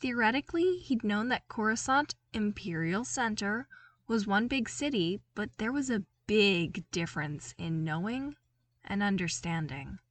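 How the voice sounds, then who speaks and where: Woman reading an audiobook story, expressive delivery, medium pitch, dry close recording. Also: measured pace, no room sound. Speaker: audiobook narrator. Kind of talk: expressive scripted story narration.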